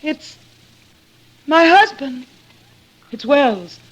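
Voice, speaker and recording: A poised, scheming wife in a vintage radio thriller. Her fairly high voice moves expressively, clipped and theatrical, on a noisy old recording. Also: sing-song, drawn-out words, full character voice. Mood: flustered. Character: cool, scheming wife keeping her husband hidden. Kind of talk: 1940s radio drama acting.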